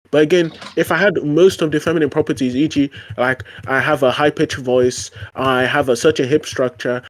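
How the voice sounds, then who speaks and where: A man in a casual online debate, arguing his point with frequent fillers and restarts. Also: mid-range voice. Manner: argumentative, lots of fillers and restarts.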